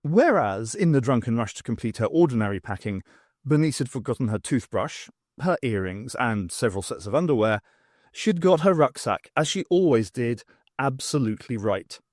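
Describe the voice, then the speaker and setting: A man narrates a science fiction novel with a very lively, varied delivery. His voice sits at a middling pitch, and the sound is dry and close, with expressive storytelling throughout. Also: moderate pace, dry recording. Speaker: audiobook narrator.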